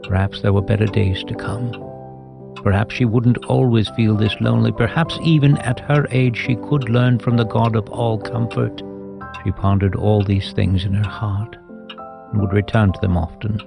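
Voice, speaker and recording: Low, gravelly male storyteller. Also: steady tone, normal speed. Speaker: narrator. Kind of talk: scripted audio drama narration, storytelling. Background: music.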